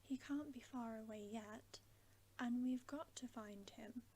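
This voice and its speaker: Lady doing anxious storybook girl who cant believe it, breathy whisper, highish, soft mellow. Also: unhurried. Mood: disbelieving, protesting. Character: distressed, protesting girl, anxious and disbelieving.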